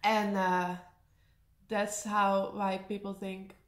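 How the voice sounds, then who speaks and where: High-voiced Dutch woman in an echoey room. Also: level tone, slow. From Netherlands. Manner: chatty, laughs often, sarcastic asides. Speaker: vlogger. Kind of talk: casual Q&A to camera.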